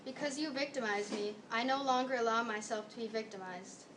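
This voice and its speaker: A woman's voice: on the high side, brisk. A young teenage girl delivering a scripted readers theater monologue as a student. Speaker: student performer. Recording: distant mic, faint background noise.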